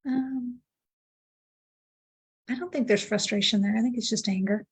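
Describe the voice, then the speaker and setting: A woman's voice: on the high side, fairly even intonation, normal speed, breathy edge. A woman in her sixties, a client in an emotional tapping session, talking candidly and repeating affirmations back to her practitioner. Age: 60s. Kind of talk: echoing affirmations after the practitioner.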